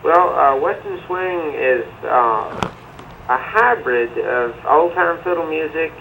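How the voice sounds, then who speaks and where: A man from Middle Tennessee, talking about his music in a relaxed, casual way with many pauses and "uh" fillers. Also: on the high side, creaky, slight background noise, poor audio. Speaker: musician guest. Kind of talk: casual interview answers. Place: remote link to a TV studio.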